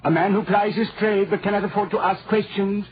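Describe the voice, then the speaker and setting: An actor playing an obliging but guarded Welsh village undertaker in 1940s radio style, with a sing-song, deferential manner. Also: male voice, sits high, fairly even intonation, brisk, close to an everyday voice. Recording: muffled, hissy old radio recording.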